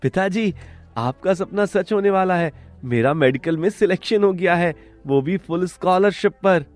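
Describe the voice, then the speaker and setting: A man's voice: sits high, normal speed, darkish tone, soft background music, lightly acted. A man voicing a studious, trusting young village son who speaks politely and earnestly in a cartoon story. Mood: excited, determined.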